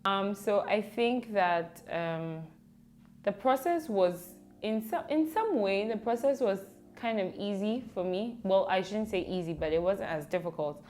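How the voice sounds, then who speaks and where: A woman's voice: mid-range voice, animated, unhurried, darkish tone, slight background noise. A young woman from Ghana, a student director, speaking carefully and with some hesitation in an interview.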